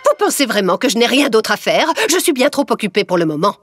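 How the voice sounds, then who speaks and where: A woman's voice: on the high side, very animated, fast talker. A woman voicing a theatrical, bossy schoolteacher in a French cartoon, with dramatic, haughty, articulate delivery. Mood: irritated, bossy. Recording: music behind the voice.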